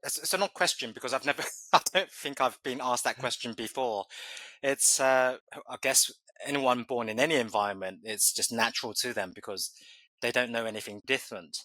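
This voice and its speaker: A man's voice: mid-range voice, a little fast, bright, forceful tone, dry recording, poor audio. A man in his forties from England, answering interview questions thoughtfully with many fillers and restarts. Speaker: podcast guest.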